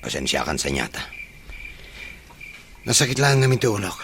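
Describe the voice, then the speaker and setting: A man's voice: fairly low pitch, sing-song, moderate pace, noisy background. A man with a Filipino accent playing a troubled young husband in a radio drama, evasive and apologetic, speaking hesitantly and withdrawn. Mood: weary. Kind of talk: Ilocano radio drama acting.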